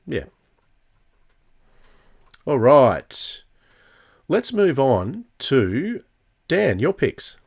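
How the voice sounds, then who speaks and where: A man's voice: mid-range voice, wildly swooping pitch, very slow, gravelly, long pauses, husky. A man hosting a podcast, chatting casually and thinking aloud as he goes. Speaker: podcast host.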